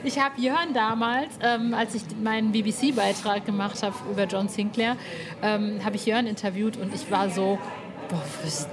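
A woman's voice: mid-range voice, unhurried, crowd noise. A woman hosting a casual podcast interview, speaking informally and with emphasis.

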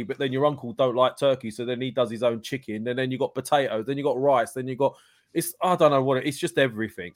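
A man chatting casually as a podcast guest, jokey and teasing in a blokey way. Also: medium pitch. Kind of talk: casual chat.

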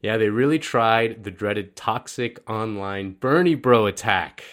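A man's voice: on the low side, unhurried, fairly bright tone. A male host giving sarcastic political commentary, mocking and wry as he reads quotes. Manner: sardonic, mocking.

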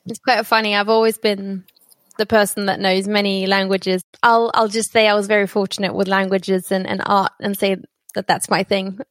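A woman's voice: medium pitch, level tone, normal speed, dry recording. A Norwegian-born woman speaks English with a British-sounding accent, giving chatty, reassuring advice as a wedding planner. Her voice sits in a middle range and the recording is close and dry, with no room around it. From Norway. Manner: warm, chatty, laughs often, reassuring. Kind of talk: podcast interview.

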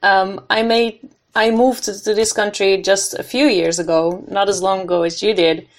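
Woman interviewer, medium pitch. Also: steady tone, moderate pace. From Netherlands. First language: Dutch. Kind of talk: casual interview.

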